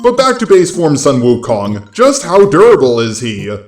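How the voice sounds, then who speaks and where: A man's voice: a little high, huge pitch swings, normal speed, a little mellow, reverberant, soft background music. A man hosting a video, reading scripted explainer narration. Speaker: video host.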